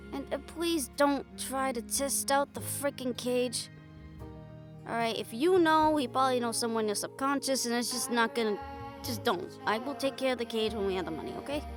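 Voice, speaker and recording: Woman as wry, bossy-but-loving girlfriend, highish voice, casual and slangy, intimate audio roleplay over music. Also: natural voice. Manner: casual, teasing, slangy, swearing. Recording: music behind the voice.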